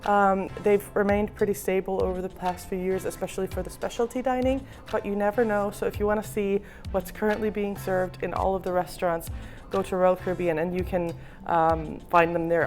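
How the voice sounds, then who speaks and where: Woman, husky voice, slight sore throat, casual tour narration, soft music behind. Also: fairly low pitch, normal speed. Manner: relaxed, explanatory, frequent ums. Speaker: cruise vlogger giving a ship tour. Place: indoors, moving through a cruise ship.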